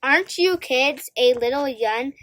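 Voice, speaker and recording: A woman's voice: high voice, unhurried, a bit bright, no room sound. A female volunteer reading a character's dialogue aloud from a story. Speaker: volunteer reader of a conductor's lines.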